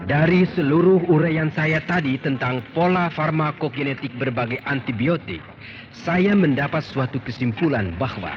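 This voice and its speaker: Casting: earnest professor of medicine, formal lecturer, lively higher-pitched delivery, old radio-play sound. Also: male voice. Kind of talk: 1980s-90s Indonesian radio drama acting. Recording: dull old radio recording.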